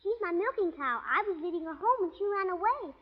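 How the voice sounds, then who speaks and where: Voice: very high-pitched, expressive, quick pace. A performer playing a small, anxious farm girl in an old-time radio western. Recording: dull vintage radio broadcast.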